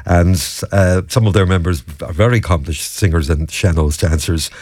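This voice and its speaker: Irish man, radio presenter, lowish voice, dry studio sound. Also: moderate pace, no echo. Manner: steady newsreader delivery with some fillers. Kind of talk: local radio news and interview. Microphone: studio microphone.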